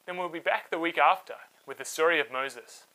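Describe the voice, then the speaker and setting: A man hosting and narrating a scripted story for children, with dramatic, clearly phrased delivery. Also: fairly high pitch, a little fast, bright, forceful tone, slight background noise, rough recording. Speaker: storyteller, host.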